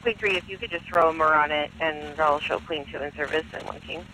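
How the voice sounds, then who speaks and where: A man dispatches police units over a noisy radio channel. His voice is high and sharp, with very little movement in pitch, and he relays details in a procedural, matter-of-fact manner. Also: fairly even intonation, brisk. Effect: police radio transmission. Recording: police radio with clear background noise.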